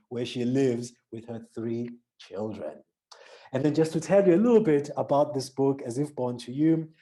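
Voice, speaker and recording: A man's voice: mid-range voice, mellow. A man with a South African accent interviewing an author, first reading an introduction and then asking questions with many restarts. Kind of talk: book interview.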